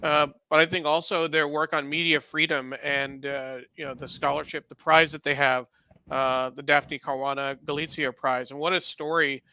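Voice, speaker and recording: A man with a strikingly bright, forceful voice, pitched a little high, co-hosts a live show. His delivery is conversational and halting, full of appreciation. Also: flat delivery, dry recording. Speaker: co-host. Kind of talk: casual live show hosting.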